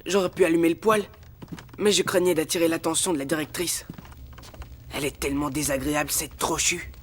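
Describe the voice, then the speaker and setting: A man's voice: on the high side, fairly even intonation, a little fast, a little hiss or hum, natural voice. A man voicing a friendly young lad in a French cartoon. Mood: hushed, cautious.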